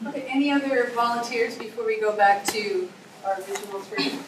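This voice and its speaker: A woman's voice: a little high, fairly quick. A woman facilitating a workshop, encouraging and calling on volunteers from the group. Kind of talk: guiding a group exercise. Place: conference workshop room. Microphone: distant room mic. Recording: echoey room, distant mic, noisy.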